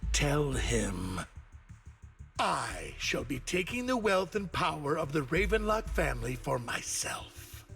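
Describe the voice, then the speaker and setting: An actor playing a scheming, greedy older man who speaks slowly and mockingly, in game audio with music behind. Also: a little high, sing-song, gravelly, fairly bright tone, full character voice. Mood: menacing. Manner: slow, mocking, menacing.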